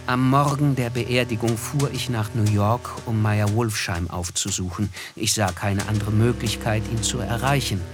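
An actor playing a sober, observant first-person narrator in a literary radio play. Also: male voice, lowish voice, steady tone, moderate pace, hardly any pauses, lightly acted. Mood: sober, reflective. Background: music.

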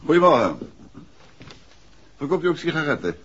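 An actor playing a courteous, inquisitive gentleman detective in an old radio drama, speaking politely and probingly. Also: on the high side, big pitch swings, moderate pace, breathy edge, full character voice. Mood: polite, casual. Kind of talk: 1960s Dutch radio drama acting. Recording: muffled old radio recording.

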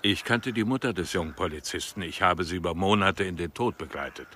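An actor playing a resolute, reassuring man who smuggles fugitives in a German radio drama, with a gravelly voice. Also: a little fast, fairly bright tone, slight background noise. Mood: calm, modest. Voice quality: deep, gravelly.

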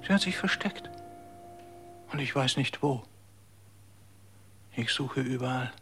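An actor in a radio drama playing a distraught man who searches for his hidden little sister, talking in hushed whispers. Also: medium pitch, slow pace, music in the background. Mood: hushed, dazed.

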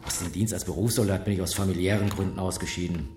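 A man playing a gruff, pedantic ex-soldier turned tax official in a radio drama, curt, dry and literal-minded. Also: sits low, fairly even intonation, fast, reverberant, music in the background, natural voice. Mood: matter-of-fact. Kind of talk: naturalistic radio drama acting.

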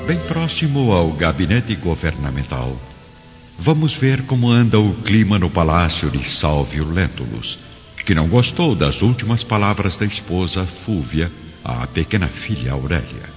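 A man's voice: low voice, sing-song, unhurried, full character voice. A man narrating a radio drama in a solemn, theatrical storytelling style. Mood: dramatic. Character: grave dramatic storyteller of Roman tale. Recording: reverberant, muffled audio with faint music.

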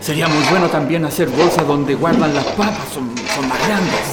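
An actor with a Chilean accent playing a brisk, encouraging male prisoner who directs his comrades in a radio drama. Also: a little high, heavy background noise, lightly acted. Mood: concerned. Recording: echoey, with loud music over dialogue.

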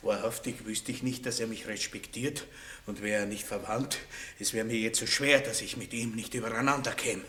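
An actor playing a boorish, cowardly, self-important baron in a radio play, with a Viennese accent and a theatrical manner. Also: male voice, on the low side, fairly even intonation, normal speed, pushed and bright. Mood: pompous, condescending. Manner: pompous, blustering, theatrical. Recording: roomy 1960s radio play studio.

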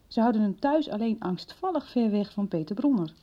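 A woman's voice: medium pitch, expressive, fairly quick, natural voice. A woman acting a frank, concerned confidante in a Dutch radio soap. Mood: concerned, hesitant. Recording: dull 1990s radio broadcast recording.

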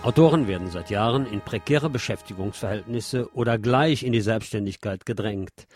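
A man's voice: fairly low pitch, moderate pace, a little mellow, soft background music. A man presenting a radio magazine as host, reading scripted narration with personal asides.

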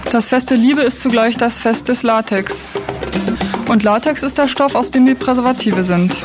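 A woman hosts a radio magazine in a fairly high voice. She reads scripted presentation, with music clearly playing behind her. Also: moderate pace. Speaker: radio host.

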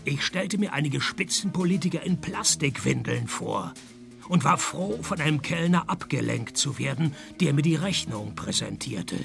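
An actor playing a world-weary, wisecracking private detective in a radio play, dry and deadpan, with a husky, gravelly voice. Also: male voice, a little high, normal speed, music in the background. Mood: dry, sardonic. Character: sardonic, world-weary male private detective, middle-aged, broke. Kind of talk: first-person radio play narration and dialogue.

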